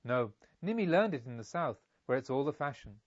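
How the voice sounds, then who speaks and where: A man's voice: mid-range voice, sing-song, brisk, no room sound, highs cut off, close to an everyday voice. A man voicing a polite, carefree young merchant's son in an audiobook, with eager, formal speech. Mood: polite, enthusiastic. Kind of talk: audiobook character dialogue.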